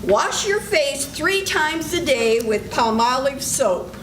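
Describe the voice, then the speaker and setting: High-voiced woman radio announcer. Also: expressive, slow pace, roomy sound, noisy background. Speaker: announcer and emcee. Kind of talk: old-time radio announcing. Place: senior center stage.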